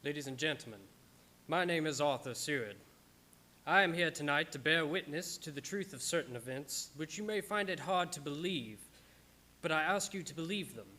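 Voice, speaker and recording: A man playing a solemn gentleman witness who formally declaims his evidence in a radio play. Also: on the high side, animated, moderate pace, soft background music. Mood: solemn, insistent. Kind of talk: dramatic radio-play narration.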